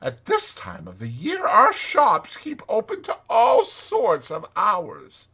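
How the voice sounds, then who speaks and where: Man doing a polite, high-pitched little field mouse. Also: wildly swooping pitch, slow pace, bright, forceful tone, husky, full character voice. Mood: respectful. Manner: polite, deferential. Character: respectful, helpful young field mouse, male. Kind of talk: dramatized children's storybook reading.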